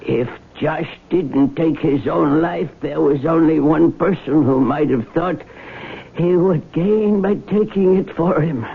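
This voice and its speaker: A gravelly, husky old man is a blunt family lawyer in a radio drama. He speaks formally and gravely, through a thin, phone-like broadcast. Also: fairly high pitch, full character voice. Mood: grave, accusing. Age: older. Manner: formal, measured. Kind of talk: 1970s radio drama acting.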